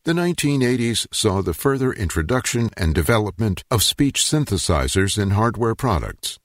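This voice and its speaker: An older man giving scripted documentary narration in a measured, explanatory way. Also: on the low side, no echo, studio quality.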